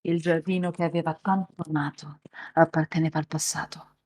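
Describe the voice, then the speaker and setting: A woman's voice: low-pitched, level tone, brisk, darkish tone. A woman hosting a live reading, moving between scripted narration and casual chat with co-readers. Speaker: host and narrator of a live visual novel reading.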